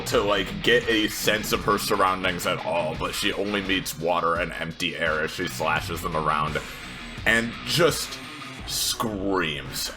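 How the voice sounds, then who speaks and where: A man playing a tabletop role-playing game, improvising narration of a character's actions in a halting way, searching for words. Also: sits low.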